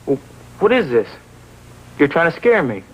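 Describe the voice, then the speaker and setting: A man's voice: on the high side, very animated, moderate pace, slightly rough, dull audio. An actor playing a surly, evasive teenage boy in 1950s TV drama style, sullen and dismissive. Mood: defiant.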